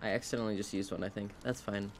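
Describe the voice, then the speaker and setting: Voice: low-pitched, fairly even intonation, very fast, slight background noise. A video game streamer giving casual live commentary, rambling and wryly talking to themself and the game. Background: video game sounds.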